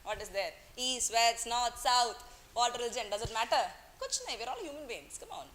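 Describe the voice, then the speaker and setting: Woman, bright tense voice, playful teacher, classroom lecture. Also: on the high side, expressive, brisk. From Kerala, India. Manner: playful, teasing, coaxing students, mixes in Hindi words. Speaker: economics teacher.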